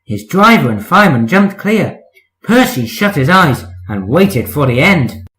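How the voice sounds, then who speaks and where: A man's voice: on the high side, sing-song, measured pace, fairly bright tone, soft background music, rough recording. A man narrating a scripted children's story as a storyteller.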